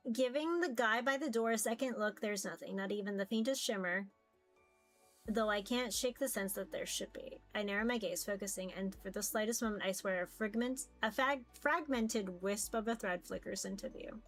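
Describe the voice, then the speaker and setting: A woman reading a visual novel aloud in an expressive first-person narration, occasionally stumbling over words. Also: medium pitch, normal speed. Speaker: VTuber reading a game aloud. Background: music.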